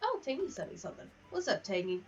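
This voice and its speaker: A woman's voice: on the high side, big pitch swings, fast, slightly rough. A young woman chatting casually on a gaming livestream, rambling from one aside to the next. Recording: distant, slightly muffled mic.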